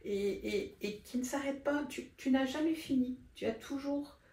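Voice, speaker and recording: A woman's voice: sits high, moderate pace, dark tone. A woman interviewing a guest, reflective and affirming. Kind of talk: conversational interview. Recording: echoey room.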